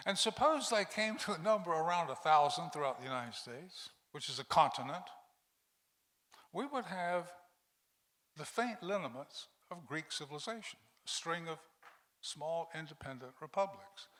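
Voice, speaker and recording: A man reading measured prepared remarks at a panel, with small stumbles. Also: a little high, a bit bright. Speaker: panel speaker. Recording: long reverb of a hall.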